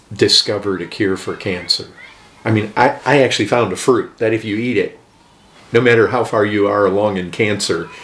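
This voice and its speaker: A man's voice: lowish voice, a bit bright, roomy sound. A middle-aged American man, a pastor, talking earnestly in an interview in a living room. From United States. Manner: earnest, emphatic, gestures with open hands. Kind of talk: documentary interview.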